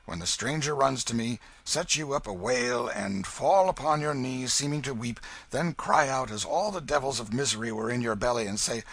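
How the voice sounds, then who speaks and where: A man's voice: medium pitch, normal speed, hardly any pauses, fairly bright tone, slightly rough, no echo, pitched above the performer's own voice. A man voicing a wily, bullying beggar-thief in a storybook, blustering and cajoling in archaic rogue's speech. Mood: bossy, hot-tempered, then wailing, pleading.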